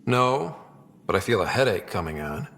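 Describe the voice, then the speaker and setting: Husky, animated young hero. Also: male voice, deep voice, normal speed, long reverb tail, full character voice. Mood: wry. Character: young male explorer hero, easygoing and wry. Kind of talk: video game voice acting.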